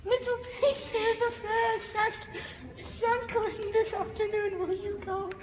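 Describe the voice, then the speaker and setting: A man voicing a hungry, blustering storybook wolf who coaxes and threatens in loud, drawn-out falsetto calls. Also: flat delivery. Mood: eager. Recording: noisy, echoey hall recording.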